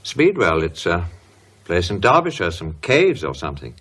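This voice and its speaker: A sensible worried english pal, lowish husky voice, blunt but caring, radio drama. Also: male voice, wildly swooping pitch, normal speed, a bit bright, heavily acted. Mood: measured, sensible. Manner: blunt, solicitous, educated. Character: concerned, brisk male friend, frank and sensible. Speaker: actor. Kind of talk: British radio horror drama acting.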